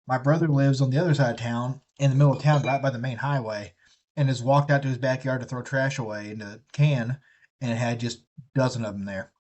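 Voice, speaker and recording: A man's voice: medium pitch, steady tone, fairly quick, a little mellow, rough recording. A man chatting casually on a live stream, rambling and profane as he talks. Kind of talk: casual rambling chat while building a kit.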